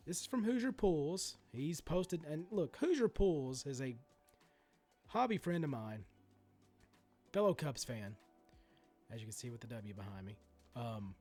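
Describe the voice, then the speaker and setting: A man's voice: fairly high pitch, a little mellow. A middle-aged man with a sore throat and stuffy nose, ranting indignantly as host of a sports card channel. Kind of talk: ranting commentary. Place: home room.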